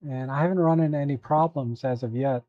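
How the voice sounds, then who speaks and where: A hoarse man hosting a live photo editing tutorial, talking at an easy, halting pace with many fillers while answering viewers. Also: medium pitch, mellow, no room sound, lo-fi sound. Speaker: photo editing livestream host.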